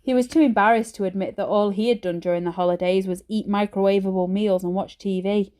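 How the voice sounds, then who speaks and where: A woman narrating a children's book like a teacher reading aloud, with expressive, emphatic phrasing. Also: mid-range voice, fast.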